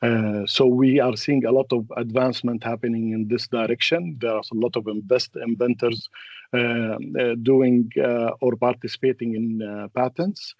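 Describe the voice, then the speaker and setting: A man, a native Arabic speaker, moderating a webinar and introducing speakers in a halting, hesitant way. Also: medium pitch, fairly even intonation, a little mellow, no room sound, dull audio.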